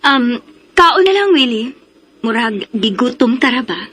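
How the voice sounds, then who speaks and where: Firm, high-voiced protective mother. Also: big pitch swings, a little slow, fairly bright tone, slightly rough, full character voice. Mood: polite, hospitable. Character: firm, polite, protective mother of grown daughter. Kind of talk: radio drama acting.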